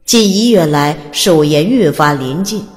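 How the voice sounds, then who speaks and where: A woman reads scripted fantasy narration as a dramatic storyteller. Her voice is deep for a woman and richly modulated, set in a long reverb over quiet music. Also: expressive, measured pace. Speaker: audiobook narrator. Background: soft music.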